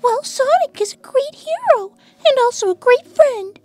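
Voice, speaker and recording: Super high squeaky lil bunny girl, cartoon, swoopy, so sweet and proper. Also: animated, measured pace, darkish tone, no echo, soft background music. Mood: prim, cheerful. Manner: prim, polite, childlike. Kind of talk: cartoon character answering fan questions.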